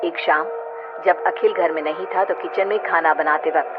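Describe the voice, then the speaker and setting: A woman's voice: sits low, steady tone, moderate pace. A woman giving scripted narration of a horror story as its narrator. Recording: tinny, noisy band-limited audio.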